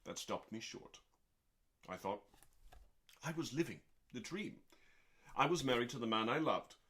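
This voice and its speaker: A man reading aloud as host in a calm, dramatic bedtime style, with deliberate theatrical pauses between short phrases. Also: medium pitch. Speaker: host and reader. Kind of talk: dramatic, calming audiobook-style cookbook reading.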